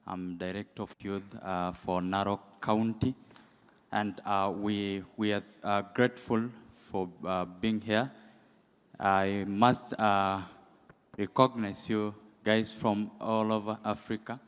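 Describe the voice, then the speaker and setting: A man's voice: lowish voice, fairly even intonation, clean tone. A man with a Kenyan accent gives impromptu public remarks as a delegate, hesitating often as he goes. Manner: halting, hesitant. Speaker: youth director, summit delegate. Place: large indoor conference hall. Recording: echoey hall, long reverb.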